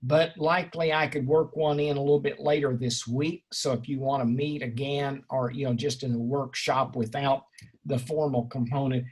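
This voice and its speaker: A man leading an informal seminar discussion as the instructor, effusive and erudite, rambling and joking, with a slightly husky voice. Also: mid-range voice, little pitch movement, moderate pace. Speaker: instructor leading an online class session.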